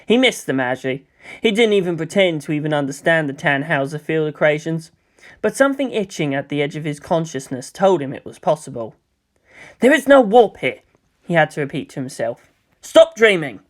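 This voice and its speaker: A man's voice: on the high side, expressive, brisk. Man narrating a story aloud. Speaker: narrator reading fan fiction aloud. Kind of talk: scripted narration, audiobook storytelling with character voices.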